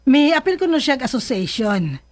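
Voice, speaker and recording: An actress playing a kindly, chatty middle-aged aunt in a radio drama, with a Filipino Cebuano accent. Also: female voice, sits high, big pitch swings, normal speed. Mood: cheerful, welcoming. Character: kindly, chatty aunt welcoming a young relative. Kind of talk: Philippine radio drama acting.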